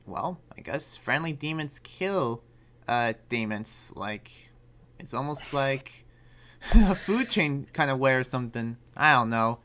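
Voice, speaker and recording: A man's voice: mid-range voice, expressive, takes it slowly, pushed and bright. A man playing an earnest demon slayer in an improvised roleplay, explaining things in a casual, rambling way.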